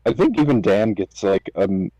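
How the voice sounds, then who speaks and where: A man's voice: fairly low pitch, big pitch swings, moderate pace, mellow, rough recording. A man chatting casually as a podcast guest, slangy and full of opinions. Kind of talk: casual chat.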